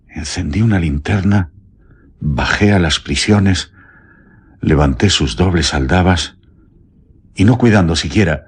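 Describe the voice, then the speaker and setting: An actor with a Castilian accent playing a terrified, guilt-ridden prison warden confessing haltingly in a radio drama. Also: male voice, low-pitched, slightly rough, full character voice. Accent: Castilian Spanish. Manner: halting, trembling, pleading. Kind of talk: Spanish radio drama acting.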